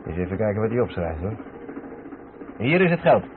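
A man's voice: fairly low pitch, big pitch swings, a little fast. A man playing a patient, knowledgeable truck driver explaining things in a radio drama. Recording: tinny old radio recording, loud background noise.

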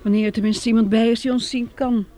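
An actress playing a plucky, compassionate young female survivor with a breathy, husky voice in a radio drama. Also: on the high side, steady tone, fast talker, warm tone, close to an everyday voice. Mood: alert.

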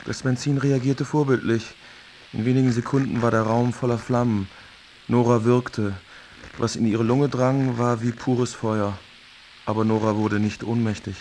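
A man's voice: mid-range voice, little pitch movement, moderate pace, a little mellow, a little hiss or hum, close to an everyday voice. An actor playing a young man who narrates a bleak love tragedy in a matter-of-fact way, in a literary radio drama. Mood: grim narration. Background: music behind the voice.

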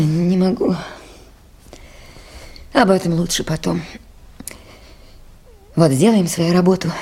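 A woman in charge at a river station in a Soviet radio drama. Her voice is husky and mid-pitched. She speaks with earnest care and an anxious, insistent edge. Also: warm tone.

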